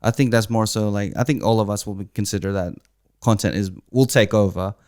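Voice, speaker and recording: A man's voice: on the low side, fairly even intonation, brisk, a little mellow, clean tone, no echo, studio quality. A man hosting a casual podcast discussion, talking earnestly and repeating his points. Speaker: podcast host.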